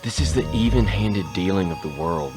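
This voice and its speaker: A man playing a shaken miser confronted with his past in a radio play, halting and theatrical in delivery. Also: sits low, animated, over music. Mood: defensive, agitated.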